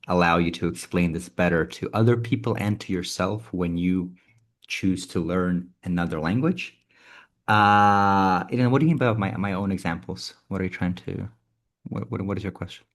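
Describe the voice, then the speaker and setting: A man's voice: deep voice, moderate pace. A man whose first language is Ukrainian, leading an online workshop, explaining and demonstrating vowel sounds on a video call. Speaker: workshop instructor.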